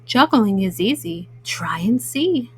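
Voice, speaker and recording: A friendly storybook octopus voiced by a woman, speaking at an unhurried pace with a lively rise and fall. The manner is inviting and encouraging, meant for small children. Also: medium pitch, slight background noise, pitched below the performer's own voice.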